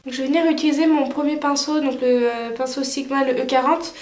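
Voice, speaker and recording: Woman, high voice, stuffy with a cold, chatty makeup tutorial, echoey room. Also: fairly even intonation, brisk, a little mellow. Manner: chatty, talks through each step with many fillers. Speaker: beauty vlogger.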